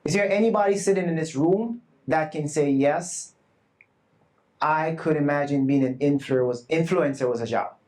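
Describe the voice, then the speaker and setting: A man presenting at a live summit, his voice sitting a bit high for a man. He talks earnestly and emphatically to the room and drops in humble, self-deprecating asides. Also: normal speed, a little mellow. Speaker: conference presenter. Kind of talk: live summit talk to an audience. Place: conference room with a live audience. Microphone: not loud at first, asked to speak louder.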